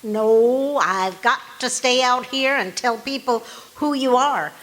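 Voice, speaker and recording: A woman playing a patient, gently needling housewife in an old-time radio comedy, wry and chiding. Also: fairly high pitch, slow. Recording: noisy live stage recording.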